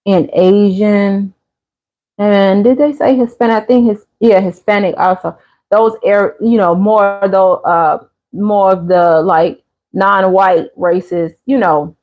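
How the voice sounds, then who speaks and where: A woman, chatting easily and answering viewers as she streams. Her voice sits at an ordinary pitch, and the sound is a little dull and lo-fi. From Chicago area. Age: 50s. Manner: laid-back, chatty, answers viewers' comments. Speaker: channel host. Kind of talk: casual live-stream chat.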